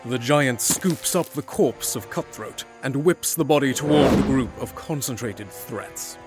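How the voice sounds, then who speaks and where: A man's voice: medium pitch, a little slow. Man narrating dramatic fantasy over music.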